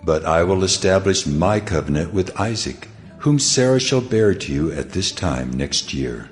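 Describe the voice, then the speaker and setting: Very deep, commanding voice of God. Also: male voice, expressive, soft background music. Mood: solemn, promising. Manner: solemn, measured, authoritative. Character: God, solemn, commanding almighty male deity. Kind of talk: dramatized scripture reading.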